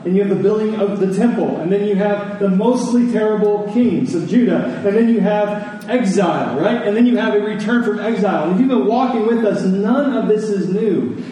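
A man's voice: high-pitched, dark tone. A man preaching a sermon in a conversational teaching manner, with repetition and rhetorical questions. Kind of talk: sermon to a Sunday congregation. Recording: echoey room, distant mic, faint noise.

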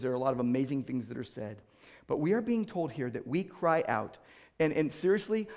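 A pastor preaching a sermon with emphasis, switching between loud declarations and hushed asides, and often repeating words as he starts a sentence. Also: medium pitch, gravelly.

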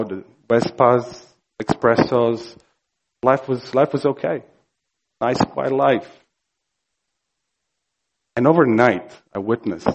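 A man from Croatia with a Croatian accent, preaching a sermon earnestly and emphatically, slow and building to fervor. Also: mid-range voice, highs cut off. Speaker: pastor.

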